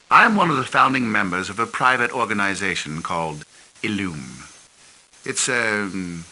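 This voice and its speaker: A grave spymaster who runs a private intelligence network in a video game. His voice sits low but has a very bright edge, and he speaks slowly and carefully. A faint hiss runs under the recording. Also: full character voice, rough recording. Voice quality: measured, grave delivery. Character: grave older male spymaster, measured and worried. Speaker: head of a private intelligence group. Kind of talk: scripted game cinematic.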